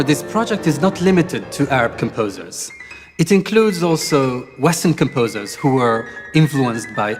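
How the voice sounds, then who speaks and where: A man from Morocco, a concert pianist, giving a prepared introduction in a measured, composed way. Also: mid-range voice, big-room sound. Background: music playing.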